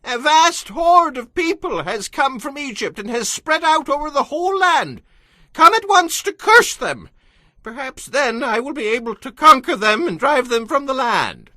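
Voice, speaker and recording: Man acting out a very high-voiced, excitable prophet. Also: normal speed, dry recording, full character voice. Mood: urgent, declaring. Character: excitable biblical prophet, a man, quick to rage. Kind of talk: dramatized scripture audio acting.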